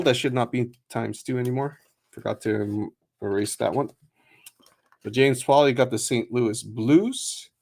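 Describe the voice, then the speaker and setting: A man hosting a trading card break stream, chatting casually with many fillers and quick laughs, and reading out a long list of names. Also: medium pitch, darkish tone. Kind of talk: casual livestream chat.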